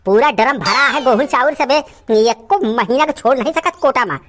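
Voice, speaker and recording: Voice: sits high, lively intonation, moderate pace, a bit bright. A performer voicing a cantankerous, lazy village uncle in an Awadhi comedy cartoon, scolding and rambling with stubborn, abusive bluster. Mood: angry, threatening. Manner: blustering, scolding, rambling rants full of abuse.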